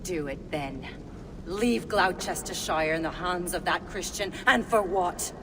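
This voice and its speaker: A woman's voice: fairly low pitch, a little slow, close to an everyday voice. An actress playing a fierce pagan witch and seer in a video game, solemn and defiant, with an incantatory delivery. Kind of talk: dramatic video game acting. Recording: long reverb, noisy ambience behind.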